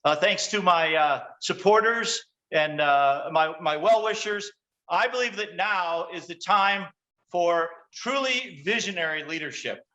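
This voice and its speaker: Man acting a high-pitched, stammering cornered senator. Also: lively intonation, pushed and bright, highs cut off, exaggerated voice. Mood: nervous, pompous. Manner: stammering, halting, flustered. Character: cornered, evasive male senator running for president. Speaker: actor in a virtual table read. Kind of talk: screenplay table-read acting.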